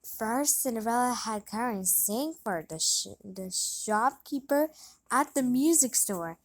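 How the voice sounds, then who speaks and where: A woman reads a children's storybook aloud at a slow pace. Her voice sits fairly high, and her delivery is a little halting as she narrates. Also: animated. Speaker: storybook reader.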